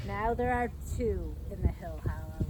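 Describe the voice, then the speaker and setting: A middle-aged woman reads a picture book aloud to young children in an expressive, sing-song storytelling manner with chatty asides. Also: medium pitch, normal speed. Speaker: park educator hosting a children's storytime. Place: outdoors by a fence at a trailhead. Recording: outdoor recording with background noise.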